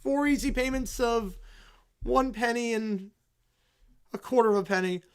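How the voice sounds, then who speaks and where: A man voicing a group of entitled, whining cartoon kids who complain dramatically and shout. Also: very high voice, measured pace, clean tone. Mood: whiny.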